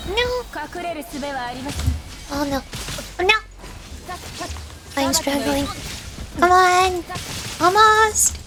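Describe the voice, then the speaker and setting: A woman's voice: extremely high pitch, very slow, pauses often. A woman giving casual, reactive live gameplay commentary as a VTuber, exclaiming in short bursts. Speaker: VTuber game streamer.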